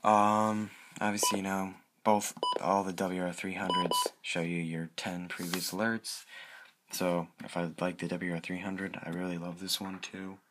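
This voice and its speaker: A man presenting his hobby in a casual, unscripted show-and-tell, halting and correcting himself as he goes. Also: low-pitched, flat delivery. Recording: clearly noisy amateur home recording.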